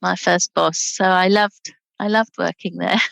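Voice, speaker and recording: Here is a woman in her sixties from Scotland, talking easily over a video call with a gravelly, creaky edge to her voice. She sounds chatty and relaxed. The sound is dry and close, with no room around it. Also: fairly low pitch, a little slow, no echo. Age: 60s. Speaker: guest, charity director. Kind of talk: casual interview chat.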